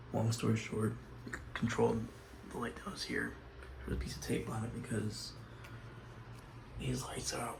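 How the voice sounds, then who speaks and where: Raspy man with a cold. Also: medium pitch, background noise. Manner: rambling, hesitant, apologetic, often drops to a near whisper. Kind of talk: casual home tour vlog. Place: inside a house, moving from room to room.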